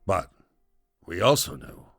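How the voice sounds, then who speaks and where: A wry, rough-edged rebel storyteller, speaks low with a very heavy creak. He is foul-mouthed and sardonic, spinning a first-person audio drama tale in a chatty yet theatrical manner. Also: big pitch swings, slow, a bit bright, no room sound, clean studio sound, faint music behind, heavily acted. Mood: reflective, wry. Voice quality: gravelly, low.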